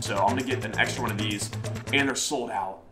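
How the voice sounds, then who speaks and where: Young guy talking fast and hyped, chatty, laughs a lot, echoey room. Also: fairly low pitch, over loud music. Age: young adult. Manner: upbeat, chatty, laughs often. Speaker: fishing vlogger. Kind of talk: casual excited online shopping vlog. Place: living room, indoors.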